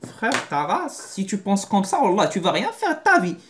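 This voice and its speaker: Animated man talking to camera. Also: high-pitched, moderate pace, background noise. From Morocco. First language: Moroccan Arabic. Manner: animated, blunt, slangy. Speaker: vlogger. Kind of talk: casual monologue to camera.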